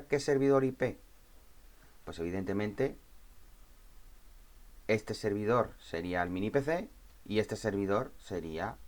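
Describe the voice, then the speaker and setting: Low-voiced man giving an informal tutorial. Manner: conversational, checks understanding with tag questions. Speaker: tutorial presenter. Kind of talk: informal step-by-step software tutorial.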